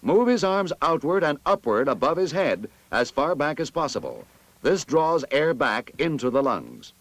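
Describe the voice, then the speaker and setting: An American man reads scripted narration for a vintage army training film in an authoritative, instructive manner with clear enunciation. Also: a little high, big pitch swings, normal speed, dry recording.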